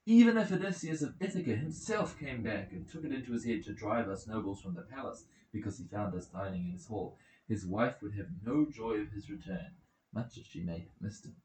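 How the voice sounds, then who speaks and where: A man's voice: lowish voice, a little fast, darkish tone, a little hiss or hum, natural voice. A scornful young noble , acted by a male narrator, speaks with arrogant dismissiveness in an epic audiobook. The voice sneers, in a noticeably echoing room. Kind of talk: dramatic audiobook character reading. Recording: roomy echoing home recording.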